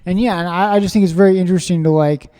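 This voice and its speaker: A man from Springfield, Missouri, hosting a podcast interview, talking in an excitable, rambling way full of fillers and restarts. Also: high-pitched, racing, smooth, in a large hall. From Springfield, Missouri, USA. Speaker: podcast host. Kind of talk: casual conversational interview.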